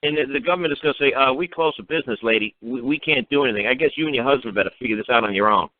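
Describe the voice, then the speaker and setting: Fast-talking, profane man on a lo-fi call. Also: mid-range voice. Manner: profane, blunt, emphatic; mocking rhetorical questions. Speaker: talk show speaker advising a caller. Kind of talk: ranting informal advice on a phone-in talk. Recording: narrow-band internet radio call.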